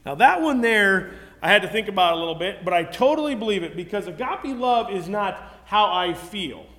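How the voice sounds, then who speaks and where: A man's voice: high-pitched, animated, moderate pace, fairly bright tone. A man preaching a sermon to a congregation, earnest and conversational, often restarting his sentences. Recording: long hall reverb.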